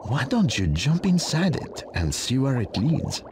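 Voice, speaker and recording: A man's voice: medium pitch, wildly swooping pitch, a little slow, noisy background. A man playing a solemn, wise, reassuring guide in a cartoon video game, formal and encouraging.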